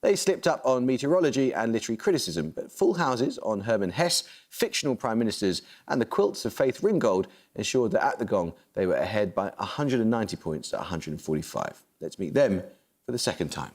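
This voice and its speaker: Brisk male quizmaster reading questions. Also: lowish voice, sing-song, a little mellow.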